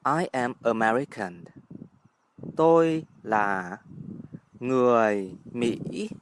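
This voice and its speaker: Slow, careful Vietnamese-accented man teaching. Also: mid-range voice, lively intonation, slight background noise. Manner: careful, deliberate, spells out words. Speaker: language teacher. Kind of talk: scripted language lesson.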